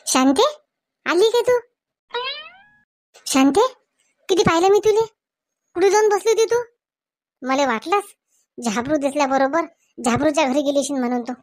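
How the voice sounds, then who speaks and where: Woman doing a nagging village husband, high-pitched. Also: moderate pace, pauses often, no echo, clean studio sound, lightly acted. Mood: scolding. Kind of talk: Marathi village comedy cartoon dubbing.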